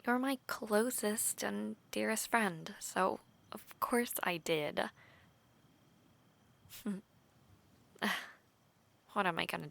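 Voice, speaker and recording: A woman voicing an earnest, affectionate young knight trainee in an intimate audio roleplay, talking softly, often half-whispered, with light laughs and hesitant restarts. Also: fairly high pitch, gravelly, full character voice. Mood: tender.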